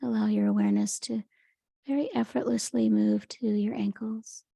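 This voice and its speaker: Soft, creaky woman guiding meditation. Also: medium pitch, steady tone, no echo, studio quality. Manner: gentle, unhurried, soothing instruction. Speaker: meditation teacher. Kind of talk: guided meditation, Yoga Nidra.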